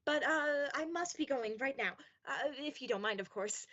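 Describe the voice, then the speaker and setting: A woman's voice: high voice, expressive, moderate pace, fairly bright tone, lo-fi sound. A woman playing a flustered, grateful young wife in an amateur radio play, hesitant and stammering. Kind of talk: amateur high school radio play acting.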